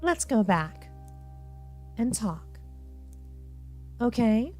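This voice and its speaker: Woman voicing a hushed, possessive spider lady. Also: a little high, big pitch swings, drawn-out words, soft background music, heavily acted. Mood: coaxing.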